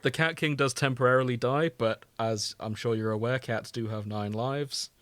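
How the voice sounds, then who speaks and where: A man's voice: mid-range voice, steady tone, moderate pace, a bit bright, no room sound. A man co-hosting a podcast, chatting casually about a TV series in an informal, sweary, wry way. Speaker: podcast co-host.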